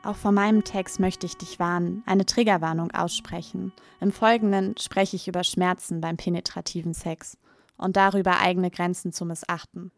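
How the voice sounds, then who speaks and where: A woman's voice: fairly low pitch, fairly even intonation, normal speed, faint music behind. A woman delivering a scripted, confiding monologue that addresses the listener directly as you. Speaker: performer in an educational audio play. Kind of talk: scripted personal monologue addressed to her younger self.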